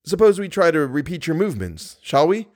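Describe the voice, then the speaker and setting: A man's voice: on the high side, sing-song, brisk, a little mellow, voice pushed up. A man playing an ardent, jealous young suitor in an audiobook, questioning insistently and emphatically.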